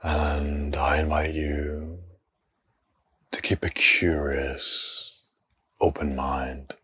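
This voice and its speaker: A man leading a guided meditation in a hushed, breathy, husky voice, speaking in short unhurried phrases with long pauses between them. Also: a bass voice, level tone.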